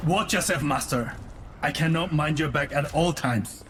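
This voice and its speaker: A man's voice: fairly high pitch, moderate pace, noisy background, natural voice. An actor playing an earnest, formal warrior who guards his master in a game. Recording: game audio with loud music.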